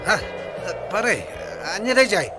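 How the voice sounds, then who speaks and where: Whiny Filipino village husband, high swooping voice, excitable radio comedy drinker, music underneath. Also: male voice, slow. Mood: alarmed.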